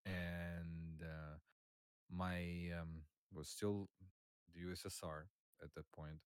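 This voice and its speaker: A man who grew up in Russia, a guest chatting casually on a podcast about old games, talking with many pauses and fillers. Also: sits low, flat delivery, clean tone, dry recording, clean studio sound.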